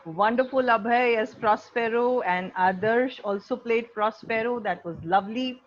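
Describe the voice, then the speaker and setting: A high-pitched female teacher with an Indian accent gives effusive, encouraging feedback to students. The sound is a dull video-call feed with faint noise behind it. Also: normal speed. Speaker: teacher hosting an online class. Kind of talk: casual spoken feedback to students. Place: online video class.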